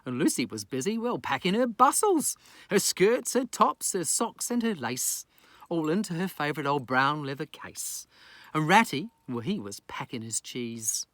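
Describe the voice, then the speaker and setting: A man's voice: on the high side, sing-song, moderate pace, a bit bright, no echo. A man from New Zealand telling a rhyming children's story in a theatrical, playful pirate persona. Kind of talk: rhyming children's story read aloud with casual asides.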